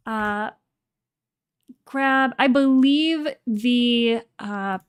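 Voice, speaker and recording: A woman hosting a livestream, chatting casually and reading out comments in a halting, polite way. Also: on the high side, clean tone. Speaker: livestream host.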